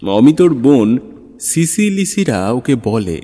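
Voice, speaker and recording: Mellow, expressive male story narrator with reverb. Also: medium pitch, slow pace. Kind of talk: scripted literary story narration. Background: background music.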